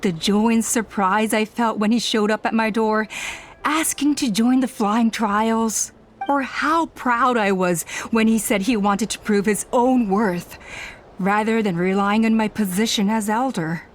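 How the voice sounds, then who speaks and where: A woman's voice: medium pitch, fairly even intonation, unhurried, natural voice. Lady acting clan elder mom in a game, wistful n anguished, medium voice, slight background noise. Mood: anguished, proud. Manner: wistful, dramatic confessing. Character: proud, anguished clan elder, a bereaved mother.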